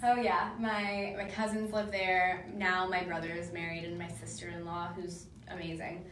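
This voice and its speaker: A young woman from Nebraska, telling chatty, animated stories in casual conversation. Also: lowish voice, normal speed, a crowd in the background. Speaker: pageant titleholder. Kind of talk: casual chat. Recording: echoey room, mic at a distance.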